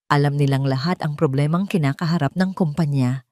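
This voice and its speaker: A female storyteller reads a scripted drama. Her voice sits a little low, and the recording is close and dry, with no room sound. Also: a little fast, a little mellow, dry recording. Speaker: narrator.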